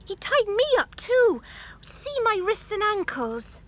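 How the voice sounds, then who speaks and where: A woman's voice: very high voice, big pitch swings, slow pace, full character voice. A woman playing a plucky, earnest young girl from a travelling fair in a children's audio drama, speaking with urgency. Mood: urgent, pleading.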